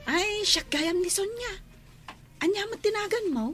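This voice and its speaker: A woman's voice: very high-pitched, measured pace, fairly bright tone, faint music behind. A woman playing a chatty, friendly senior housemaid in an Ilocano-accented radio drama. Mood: chatty, admiring.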